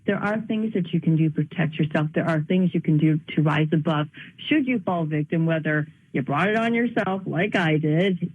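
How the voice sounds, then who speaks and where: A woman's voice: sits low, moderate pace, breathy edge, no room sound. Earnest woman on a tinny phone line. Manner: earnest, reflective, with frequent restarts. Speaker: guest, speaker and author. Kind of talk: radio interview by phone. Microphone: telephone.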